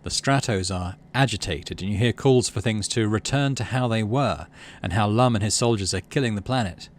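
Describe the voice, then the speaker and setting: A man, middle-aged, hosting a game playthrough, mixing casual commentary with expressive story reading. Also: fairly low pitch, normal speed. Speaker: let's play host.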